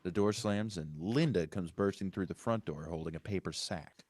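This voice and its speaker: A man's voice: sits low, normal speed, a little mellow. A man reading stage directions as scripted narration at a live table read, occasionally correcting himself.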